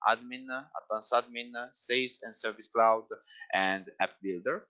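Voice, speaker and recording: A man with an Italian accent presenting in a webinar as an instructor, pausing often to search for words. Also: fairly low pitch, bright, forceful tone. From Italy. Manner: halting, searching for words in a second language. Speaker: Salesforce instructor. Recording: muffled lo-fi webinar audio.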